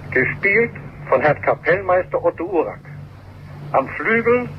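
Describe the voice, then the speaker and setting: A man making a solemn, deliberate, formal announcement in the manner of early 1920s radio. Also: high-pitched, sing-song. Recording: muffled, noisy old radio archive recording.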